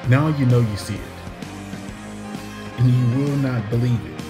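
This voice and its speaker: A man performs a spoken-word monologue in a mid-pitched voice. His delivery is dramatic and deliberate, breaking lines into poetic phrases, with plucked guitar music clearly playing behind him.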